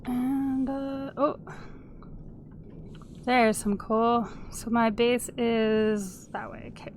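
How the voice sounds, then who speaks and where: Woman host, a bit sniffly, slow relaxed commentary over gameplay, noticeable background noise. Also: on the high side. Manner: chatty, hums and sings little tunes while playing. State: sniffly from a cold. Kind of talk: casual let's-play commentary.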